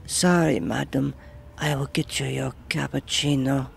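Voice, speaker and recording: A man voicing a meek, apologetic foreign waitress in an audio story, husky and deferential. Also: mid-range voice, little pitch movement, unhurried, a little hiss or hum, pitched above the performer's own voice. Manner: deferential, servile.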